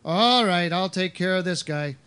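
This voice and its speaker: A man playing a gruff, brusque, bossy security chief in a radio play. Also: high-pitched, a little slow, pushed and bright. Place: live performance on a cruise ship.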